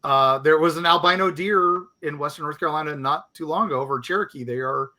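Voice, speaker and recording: A man's voice: fairly high pitch, quick pace, sharp tone. A man co-hosting a podcast, chatting loosely with many fillers and reading listener letters aloud. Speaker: podcast co-host. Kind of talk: casual chat, reading listener stories.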